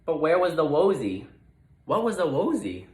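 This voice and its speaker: A man reading a children's storybook aloud with expressive narration. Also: a little high, moderate pace, roomy sound. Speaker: storybook reader.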